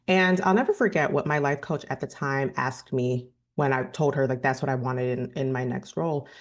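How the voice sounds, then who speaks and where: A woman in her thirties with a deep register, earnestly telling a personal story to camera and often correcting herself mid-sentence. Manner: earnest, thoughtful, with frequent self-corrections and "you know". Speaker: vlogger.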